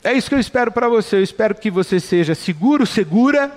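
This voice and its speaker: A man's voice: high-pitched, expressive, fast, a little mellow. A middle-aged Brazilian man preaching a sermon with passion, building points through rhetorical repetition. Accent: Brazilian Portuguese. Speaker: pastor. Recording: long hall reverb.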